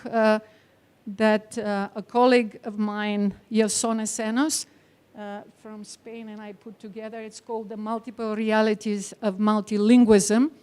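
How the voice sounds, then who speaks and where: A woman academic from Bulgaria lectures in a fairly high voice with a Bulgarian accent. She speaks as a non-native speaker of English, addressing her listeners directly and stressing her points. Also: flat delivery, normal speed, a little mellow. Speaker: academic speaker, teacher trainer. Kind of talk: conference lecture to an audience.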